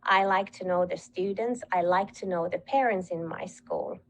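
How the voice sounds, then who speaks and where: A woman with a Finnish accent, a school head giving an earnest presentation, pausing often and restarting phrases as she speaks. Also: on the low side, a little hiss or hum, rough recording. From Finland. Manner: earnest and measured, with frequent hesitations and restarts. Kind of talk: presentation talk with slides.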